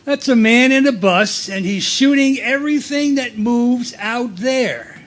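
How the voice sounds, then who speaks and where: A man playing a deadpan police lieutenant in a live comic radio play. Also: extremely high pitch, measured pace.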